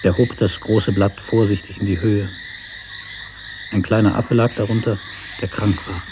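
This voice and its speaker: A man reading a literary story as narrator in a measured, sober manner. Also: on the low side, steady tone, background noise.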